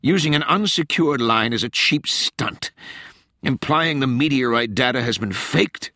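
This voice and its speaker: A man voicing a domineering female senior presidential advisor, raspy, stern and cutting, in a dramatized audiobook. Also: mid-range voice, expressive, unhurried, pushed and bright, no echo, clean studio sound, voice pushed up. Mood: icy contempt.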